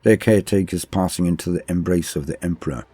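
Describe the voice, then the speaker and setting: A man reads science-fiction war chronicles as a dramatic narration. His voice is very deep and husky, with a dark, subdued tone. He speaks in a solemn, measured and formal storytelling manner.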